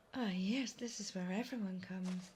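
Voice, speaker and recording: Friendly young guide from another world , woman actor, chatty and welcoming, medium pitch, audio roleplay with background noise. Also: a little mellow, close to an everyday voice. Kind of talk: roleplay audio drama addressed to the listener.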